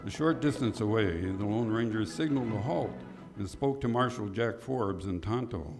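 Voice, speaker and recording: A man's voice: fairly low pitch, moderate pace, music in the background. A man narrating a scripted Western in old-time radio drama style.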